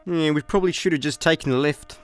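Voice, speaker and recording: A man's voice: on the high side, fast, dry recording, faint music behind, lightly acted. A Scottish man playing a bumbling, chatty space layabout in a comedic radio play, rambling and exasperated.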